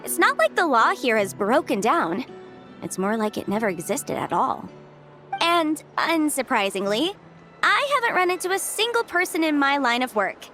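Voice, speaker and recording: Bright-spirited, high-voiced young legal consultant. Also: female voice, normal speed, faint music behind, full character voice. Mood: chatty, upbeat. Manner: bubbly, chatty, expressive. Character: cheerful young female legal consultant with adeptus blood. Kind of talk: animated video game voice acting.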